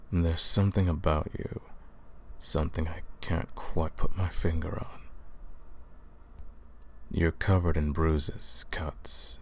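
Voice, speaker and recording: A man playing a centuries-old vampire hunter in a roleplay audio drama, stern and commanding, speaking deliberately and questioning the listener. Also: extremely low pitch, full character voice. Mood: suspicious, intrigued.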